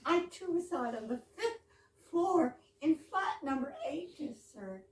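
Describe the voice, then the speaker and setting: Woman acting an amazed genteel wife, stage comedy, exaggerated, high voice, drawn-out delivery, distant noisy room recording. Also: expressive. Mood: bewildered delight. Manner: exaggerated, theatrical, exclamatory. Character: genteel British wife, theatrically amazed at every coincidence. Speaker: amateur readers theater actor. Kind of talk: absurdist stage comedy reading. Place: live stage reading in a room. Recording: echoey room, distant mic with noise.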